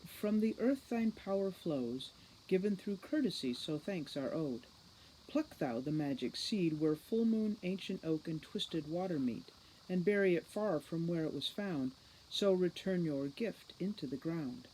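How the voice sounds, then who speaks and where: Woman narrator voicing imperious boy genius, storybook character, formal lecturing, mellow tone, background hiss. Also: lowish voice, lively intonation, a little slow, low-quality recording. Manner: formal, lecturing, precise diction. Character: young boy criminal mastermind, precise, condescending, impatient.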